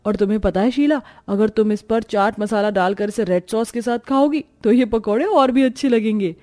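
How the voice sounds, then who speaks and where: A woman voicing a poor young married woman in a cartoon story, gentle, concerned and practical. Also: a little high, moderate pace, close to an everyday voice. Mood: caring. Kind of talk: cartoon story character dialogue.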